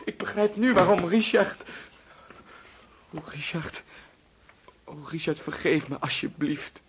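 An actress in a radio drama playing a frightened, cornered young person, halting, pleading and desperate to get away. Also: female voice, lowish voice, a little hiss or hum. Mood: panicked, pleading.

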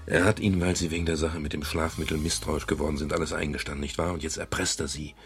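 Gravelly, very low-voiced world-weary detective. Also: male voice, flat delivery, quick pace, faint music behind. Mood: urgent, pressing. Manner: dry, wry. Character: world-weary male private detective narrating his case. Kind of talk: 1970s German radio crime drama, first-person narration and dialogue.

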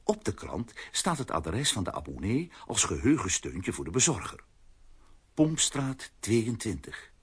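A man's voice: fairly low pitch, husky, exaggerated voice. A man narrating a children's adventure audio play as a scripted storyteller.

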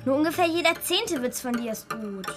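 High little schoolgirl voice, bossy matter-of-fact daughter, kids radio play, bit of music. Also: animated, brisk. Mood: chiding. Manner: matter-of-fact, persistent, chiding. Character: earnest, insistent schoolgirl daughter chiding her father. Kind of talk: German children's radio play acting.

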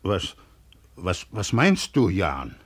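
Radio drama: loyal village friend, expressive melody, fairly deep, musing and halting. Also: male voice, husky, heavily acted. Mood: uneasy. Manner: hesitant, musing, soothing. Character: kindly, loyal villager and family friend, hesitant daydreamer. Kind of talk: German radio play acting.

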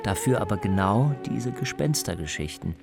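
Low-voiced man narrating a radio feature. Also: expressive, normal speed, gravelly, music in the background. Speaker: reporter and narrator.

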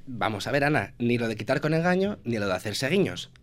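A man's voice: mid-range voice, very animated, brisk. A man with a Manchego Spanish accent presenting a comedy segment in a radio studio, joking in a dry, self-mocking way. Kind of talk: scripted comic radio banter.

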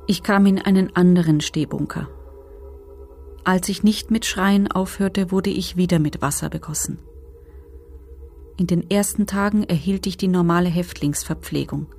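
A woman playing a former camp prisoner who gives sober, measured testimony in a documentary radio drama. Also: fairly low pitch, darkish tone, faint music behind. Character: former female concentration camp prisoner giving grim testimony.

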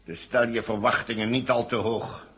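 A sardonic, condescending villain played by a male actor in a 1970 radio play. His voice is medium in pitch, controlled and quietly menacing, with smug mockery in the delivery. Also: flat delivery, normal speed, lightly acted. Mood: cold, taunting. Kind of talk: 1970 Dutch radio drama acting.

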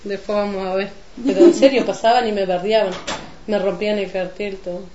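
A woman's voice: mid-range voice, steady tone, a little fast, background noise, natural voice. A woman playing a chatty neighbour in a colloquial radio drama. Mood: indignant. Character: chatty neighbour woman gossiping about elections over mate. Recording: echoey room, muffled lo-fi, crowd chatter.

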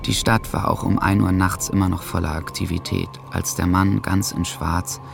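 An actor playing a brooding teenage boy who narrates his dark story in a sombre, deliberate way, with a gravelly voice. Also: sits low, level tone. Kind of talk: radio play narration. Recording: loud music behind the voice.

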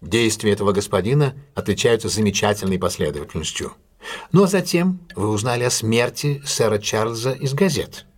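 An actor playing a confident, courteous male detective in an audio drama, speaking in a measured, deliberate and formally polite way. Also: fairly low pitch, expressive, husky. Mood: calm, probing. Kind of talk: audio drama acting, classic detective story.

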